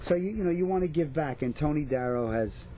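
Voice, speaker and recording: A man's voice: fairly high pitch, lively intonation, fairly quick, warm tone, a little hiss or hum. A man, an actor chatting casually as an interview guest. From New York/New Jersey area.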